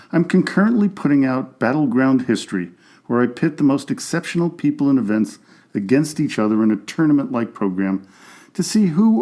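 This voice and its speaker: A man hosting a history podcast, reading a scripted narration in a steady, informative way. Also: mid-range voice. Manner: reads steadily from a script with an even, informative delivery.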